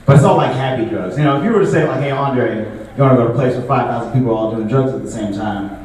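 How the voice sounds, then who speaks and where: A young man performing a stand-up comedy set in a relaxed, conversational way, full of filler words. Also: mid-range voice, fast talker. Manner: laid-back, conversational, frequent filler words. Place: comedy show venue with a live audience. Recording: echoey room, distant mic, audible noise.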